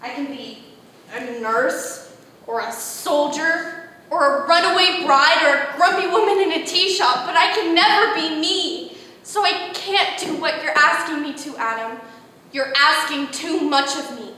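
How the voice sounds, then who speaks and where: A woman's voice: high-pitched, a little slow, sharp tone. A woman voicing an articulate, pleading android woman built to look nineteen, heard distant with a long echoey reverb. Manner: articulate, pleading, increasingly distraught.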